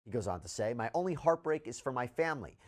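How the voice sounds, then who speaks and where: A man presenting news and reading out statements with measured, deliberate emphasis. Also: mid-range voice, big pitch swings. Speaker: news commentator.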